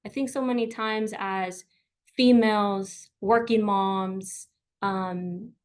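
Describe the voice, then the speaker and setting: Encouraging woman presenting a webinar. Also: medium pitch. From South Texas, USA. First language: Spanish. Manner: warm, personal, encouraging, with frequent fillers and repeats.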